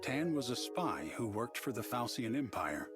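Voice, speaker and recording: An actor playing a composed narrator, telling a fantasy game tale in a measured, literary style. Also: mid-range voice, very animated, over loud music, close to an everyday voice. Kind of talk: scripted narration.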